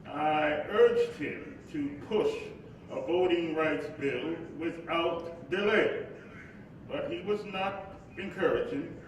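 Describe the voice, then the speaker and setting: An actor playing the solemn spirit of a civil rights preacher in a staged reading, with measured, weighty oratory. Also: male voice, on the high side, far from the mic. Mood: grave, reflective. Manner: measured, deliberate, weighty phrasing. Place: live stage in a hall. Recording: very echoey, noisy hall recording from afar.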